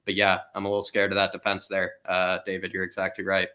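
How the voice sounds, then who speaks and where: A man's voice: low voice, monotone, quick pace, bright, forceful tone. A man from the United States, co-hosting a football watchalong and giving rambling analysis. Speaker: co-host. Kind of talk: casual football chat.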